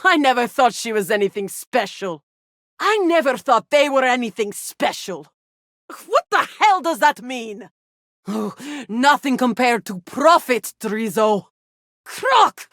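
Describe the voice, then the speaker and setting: A woman's voice: a little high, sing-song, slow pace, vocal fry, a bit bright, no echo, studio quality, exaggerated voice. A woman playing a greedy, scornful villainess in a sci-fi video game, with haughty, theatrical delivery. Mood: outraged. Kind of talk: video game character voice lines.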